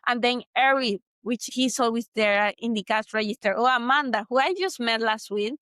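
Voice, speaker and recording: A woman's voice: fairly high pitch, normal speed, a bit bright, dry recording, clean studio sound. A woman from Venezuela with a Venezuelan Spanish accent, hosting a solo motivational talk in an earnest, reflective way that repeats points for emphasis. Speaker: podcast host.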